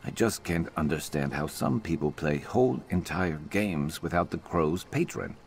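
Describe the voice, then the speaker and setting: An actor playing a gruff, world-weary adventurer companion in a fantasy game, with a blunt, dry, sardonic manner. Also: male voice, sits low, animated, normal speed, slight background noise. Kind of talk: scripted fantasy game voice acting.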